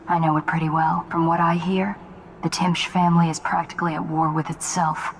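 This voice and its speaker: A blunt, dry assassin lieutenant. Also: female voice, low voice. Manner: dry and matter-of-fact. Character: blunt young woman assassin, pragmatic lieutenant. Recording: reverberant room with background noise.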